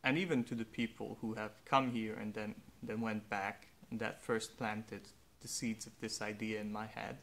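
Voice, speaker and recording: A young man, a native Hungarian speaker, speaking slowly and earnestly in a reflective personal monologue with faint background noise. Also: lowish voice, flat delivery, fairly bright tone. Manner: slow, thoughtful, earnest. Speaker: vlogger.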